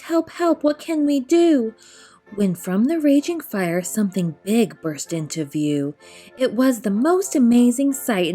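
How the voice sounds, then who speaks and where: A woman storyteller reading a rhyming children's picture book aloud, expressively, in measured rhythmic verse. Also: mid-range voice, warm tone.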